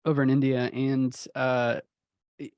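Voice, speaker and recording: Relaxed young man from Houston. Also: mid-range voice, unhurried, dry recording, lo-fi sound. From Houston, Texas. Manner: relaxed, uses many fillers like "you know". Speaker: podcast guest, sound engineer. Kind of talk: casual reflective storytelling.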